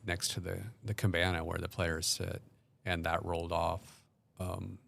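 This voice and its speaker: A man's voice: low-pitched, monotone, unhurried, gravelly, a bit bright. A man doing casual play-by-play commentary, describing each move step by step and hesitating often with ums.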